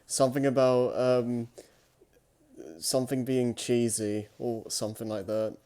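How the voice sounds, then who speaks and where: A man's voice: medium pitch, level tone, a little slow, mellow, a little hiss or hum. A man in casual, hesitant chat, rambling a little as he speaks.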